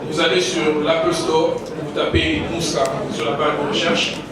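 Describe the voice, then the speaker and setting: Male presenter, very even intonation, instructional delivery, far from the mic in a heavily echoing hall. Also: a little high, moderate pace. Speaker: presenter of an app. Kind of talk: step-by-step product explanation at press conference. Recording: echoey hall, distant mic, loud crowd.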